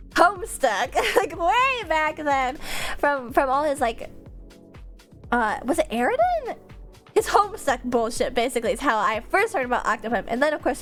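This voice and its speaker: A woman chatting casually on a livestream in a rambling, animated, slangy way, with a stuffed-up nose. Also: sits high. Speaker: streamer, VTuber. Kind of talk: casual livestream chat with viewers.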